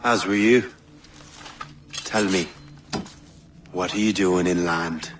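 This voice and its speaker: An actor playing a conflicted, earnest young renegade assassin in a game cutscene, with an Irish accent. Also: male voice, low voice, fairly even intonation, slow pace, noisy background. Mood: grim, regretful. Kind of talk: dramatic video game cutscene acting. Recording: loud cinematic game music behind.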